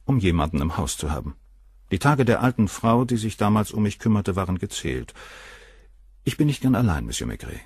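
A cynical, self-satisfied surgeon in a radio crime drama. His voice is low and husky, with lively swings in pitch. It is recorded close and dry. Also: brisk, no echo, heavily acted. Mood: cool. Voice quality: cool, cynical, dismissive. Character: cold arrogant famous male surgeon, middle-aged. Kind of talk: radio play acting.

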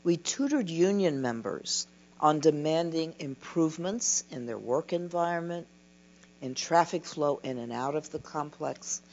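An older woman giving a keynote lecture from a podium, reading a prepared talk in a slow, measured way. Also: low-pitched, expressive, darkish tone. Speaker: keynote speaker. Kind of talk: scripted conference lecture. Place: dim lecture hall at a podium. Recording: dull lo-fi recording with mains hum.

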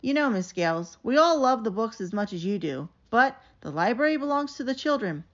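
A woman voicing a sweet, polite female kindergarten teacher in a storybook, gently and earnestly pleading. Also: on the high side, very animated, brisk. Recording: dull, lo-fi sound.

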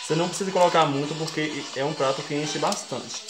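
A man's voice: on the high side, fast. A young Brazilian man hosting a casual home cooking tutorial, walking viewers through each step in a friendly, chatty way. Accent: Brazilian Portuguese. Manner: chatty, explains each step and keeps addressing viewers as folks. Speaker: cooking show host. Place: home kitchen, indoors. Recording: echoey kitchen with background music.

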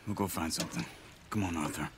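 An actor playing a calm, determined hunter, terse and matter-of-fact, in a western game. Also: male voice, deep voice, fast talker, noisy background, lightly acted. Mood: terse determination. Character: calm, stoic, determined male frontier hunter. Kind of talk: cinematic video game acting.

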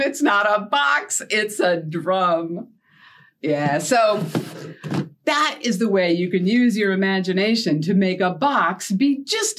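A middle-aged woman hosting a storytime for young children, talking in an animated way with simple questions and playful surprise. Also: medium pitch, a little hiss or hum. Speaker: children's librarian and storytime host. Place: small indoor set with felt board and book cart.

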